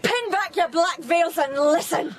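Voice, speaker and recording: A woman playing an anguished, defiant grieving mother in an audio drama, sharp-tongued and emotional. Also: extremely high pitch, a little slow, a little hiss or hum. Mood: distraught. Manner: emotional, searching, challenging.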